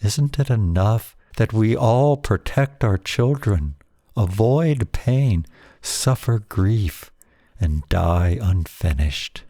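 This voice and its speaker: A man reading his own poem aloud as a scripted recitation, in a solemn, measured manner with deliberate phrasing. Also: fairly low pitch, animated, dark tone, dry recording, clean studio sound.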